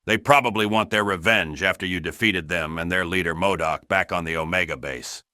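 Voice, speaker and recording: A man's voice: sits low, fairly quick, pushed and bright, clear, resonant tone, dry recording, studio quality. A man voicing a serious, authoritative commander briefing a hero team in fan-made game dialogue. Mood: grave. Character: serious, commanding male spy chief briefing heroes.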